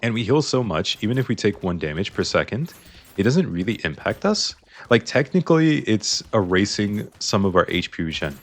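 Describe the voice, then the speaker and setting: A man's voice: lowish voice, big pitch swings, moderate pace. A man casually commenting on a video game as he plays, explaining his choices, his voice a little strained. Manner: chatty, explains choices while playing. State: slightly strained voice. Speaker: gaming YouTuber.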